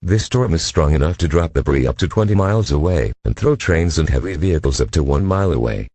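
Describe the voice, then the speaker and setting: A man with an extremely deep voice reads emergency weather warnings from a script. His delivery is formal and impersonal, with a husky, rough tone. The sound is dry and close. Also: animated, fast, mellow, no echo. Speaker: emergency alert announcer.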